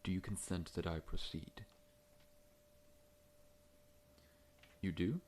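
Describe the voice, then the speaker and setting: A man playing a large, friendly scorpion monster dentist in an ASMR roleplay, talking in a gentle, reassuring, professional way. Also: low voice, normal speed, a little hiss or hum, lightly acted. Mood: calm, professional.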